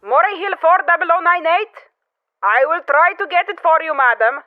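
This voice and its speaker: A woman's voice: extremely high pitch, lively intonation, moderate pace, no echo. A woman playing a formal, patient telephone operator in a radio drama, heard through a phone filter. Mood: polite, unflappable. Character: polite, unflappable, by-the-book female telephone operator. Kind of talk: old-time radio drama acting. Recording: tinny narrow telephone line.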